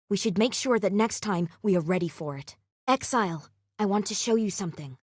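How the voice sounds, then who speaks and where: An actress playing a solemn, secretive guardian in a video game, speaking in a measured, formal, grave way. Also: female voice, mid-range voice, no echo, studio quality, natural voice. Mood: disappointed, then calm, intent. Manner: measured, formal, grave delivery without casual contractions. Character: solemn, secretive female guardian hunting an immortal crime syndicate. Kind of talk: scripted video game character acting.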